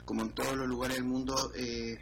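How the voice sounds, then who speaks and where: A man with a Chilean accent, a financial columnist answering interview questions in a measured, hesitant way. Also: mid-range voice, level tone, vocal fry, music in the background. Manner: measured, hesitant, many fillers.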